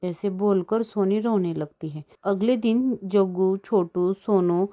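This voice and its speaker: A woman's voice: medium pitch, takes it slowly. A woman reading scripted narration for a children's animal story. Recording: dull lo-fi sound.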